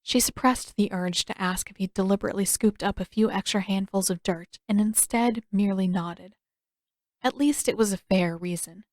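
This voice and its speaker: A woman's voice: mid-range voice, steady tone, moderate pace, a little mellow, no room sound, clean studio sound. A woman reading fiction aloud as a scripted audiobook narration.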